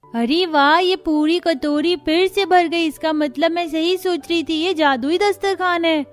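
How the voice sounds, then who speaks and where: A woman's voice: extremely high pitch, steady tone, unhurried. A woman voicing an eager, sweet young child in a cartoon family story. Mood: excited. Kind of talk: Hindi cartoon story acting.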